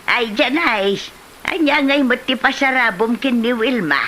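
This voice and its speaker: A woman's voice: a little high, expressive, unhurried, pushed and bright, slight background noise. A woman with an Ilocano accent playing a nagging, complaining, blunt village auntie in a comedy drama, full of exclamations. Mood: teasing, nagging.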